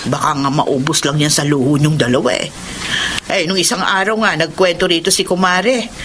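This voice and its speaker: An actress playing a tactless, gossipy older Filipina neighbour in a radio drama, prying and needling. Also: female voice, low-pitched, fairly even intonation, moderate pace, fairly bright tone, a little hiss or hum.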